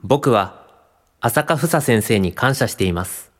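A man's voice: mid-range voice, expressive, unhurried, a little hiss or hum, natural voice. An actor playing a modest, anxious young male student in a radio drama, polite, hesitant and eager to please. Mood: sincere, reflective. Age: young adult. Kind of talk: radio drama acting and reminiscing narration.

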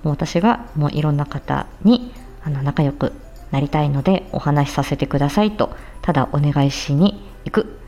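A woman's voice: sits low, animated, normal speed, mellow, lo-fi sound, faint music behind. A woman streamer chatting casually in a giggly, bashful, hesitant way as she makes announcements. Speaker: streamer and amateur voice-drama performer.

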